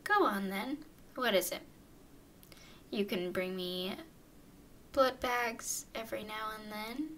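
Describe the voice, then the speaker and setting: Woman voicing a slow-talking, haughty vampire girl. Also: medium pitch, a bit bright, a little hiss or hum. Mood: teasing, wistful. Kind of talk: ASMR roleplay, acted monologue to listener.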